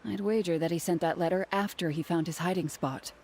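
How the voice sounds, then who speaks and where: A woman playing a brisk, lower-voiced officer. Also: steady tone, darkish tone, slight background noise, natural voice. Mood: stern. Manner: brisk, commanding. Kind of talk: game voice acting.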